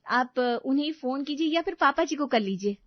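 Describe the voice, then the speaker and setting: A woman's voice: high voice, expressive, normal speed, dry recording, dull audio, pitched above the performer's own voice. A woman voicing a dutiful, emphatic young daughter-in-law in a family drama. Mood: insistent. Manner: earnest, emphatic.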